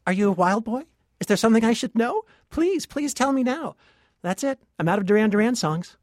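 A woman's voice: on the high side, expressive, a little fast, darkish tone, no room sound, studio quality, natural voice. A woman acting as a naive, perky teenage girl singer in a parody radio play. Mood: playful.

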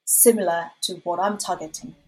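A woman from Korea with a Korean accent, whose first language is Korean, answering interview questions as a freelance designer, halting with many fillers and restarts. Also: fairly low pitch, fairly even intonation, dark tone, slightly rough, low-quality recording.

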